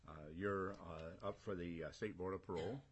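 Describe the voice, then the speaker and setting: An American man chairing a government committee meeting, speaking informally in a halting, folksy and courteous way. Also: deep voice, steady tone. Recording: noticeable background room noise.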